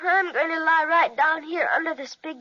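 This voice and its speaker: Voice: extremely high pitch, moderate pace, pushed and bright. An actor playing a kind, earnest, wide-eyed little girl in an old-time children's radio serial. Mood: earnest wonder. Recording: dull, lo-fi old radio recording.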